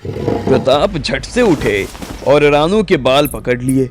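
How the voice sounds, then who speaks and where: A man's voice: mid-range voice, expressive, slow pace, background noise. A man narrating a scripted audio drama story as its storyteller. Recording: music bed under the voice.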